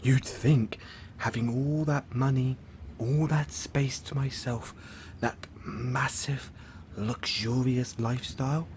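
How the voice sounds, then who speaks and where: A man playing a lonely, lovesick supervillain in an audio roleplay, theatrical and halting, often dropping into breathy near-whispers. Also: medium pitch, slight background noise, natural voice. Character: lovesick, lonely supervillain, suave musical phantom, obsessive and theatrical. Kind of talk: intimate audio roleplay acting.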